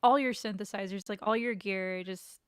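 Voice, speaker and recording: A woman's voice: mid-range voice, fairly even intonation, clean tone, no echo, clean studio sound. A woman co-hosting a livestream, speaking in a casual, encouraging and friendly way. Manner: warm, encouraging, quick to laugh. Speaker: co-host.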